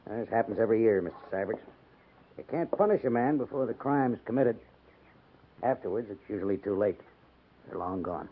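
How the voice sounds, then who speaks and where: An actor playing a gruff but easygoing cavalry major with an authoritative manner in a 1950s radio drama. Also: male voice, on the low side, expressive, fairly quick, long pauses, phone-quality sound, lightly acted. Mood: resigned. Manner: emphatic, authoritative. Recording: noisy band-limited 1950s radio recording.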